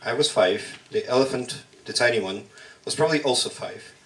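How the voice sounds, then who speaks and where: A man whose first language is Russian performs a spoken-word poem in measured, halting short phrases at a slam poetry workshop. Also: medium pitch, recorded from a distance, rough recording.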